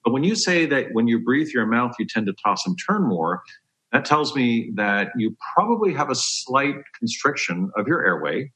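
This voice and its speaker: Man, bright forceful voice, mid pitch, dentist guest, conversational health explainer, step-by-step delivery. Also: big pitch swings, moderate pace, low-quality recording.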